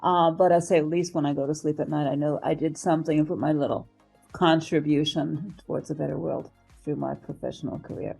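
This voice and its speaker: An older American woman speaks as an interview guest. Her voice sits low and mellow, with a good deal of fry. She is articulate and measured, taking small pauses as she explains her work. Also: fairly even intonation, faint music behind. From United States. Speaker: interview guest, marketing professional and professor. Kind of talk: interview answers, career account.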